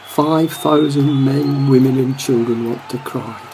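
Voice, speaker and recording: A man's voice: mid-range voice, steady tone, measured pace, mellow, a faint crowd, a little hiss or hum, close to an everyday voice, low-quality recording. A man playing a wry, melancholy football fan narrating a radio play. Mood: dramatic, rueful.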